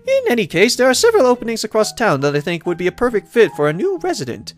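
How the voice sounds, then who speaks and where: A man's voice: high-pitched, huge pitch swings, fast talker, faint music behind, pitched above the performer's own voice. A man voicing a cheerful, polite female pony mayor from a visual novel, with a formal, gracious and eager manner. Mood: helpful, businesslike.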